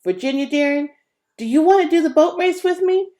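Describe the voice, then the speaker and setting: A woman's voice: high-pitched, animated, fairly quick, dark tone, pitched above the performer's own voice. A woman voicing an anxious, earnest twelve-year-old boy in a children's storybook reading. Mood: nervous.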